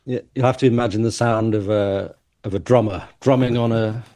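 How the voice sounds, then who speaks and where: Man, low voice, hesitant, thoughtful, fillers. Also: steady tone, a little fast, dark tone. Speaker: musician and competition judge. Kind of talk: radio interview guest.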